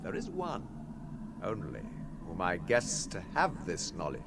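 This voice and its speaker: An actor playing a cunning, haughty wizard in a radio fantasy drama, with grandiose, silky, theatrical delivery. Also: male voice, sits low, huge pitch swings, measured pace, gravelly, heavy background noise, heavily acted. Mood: sly, haughty.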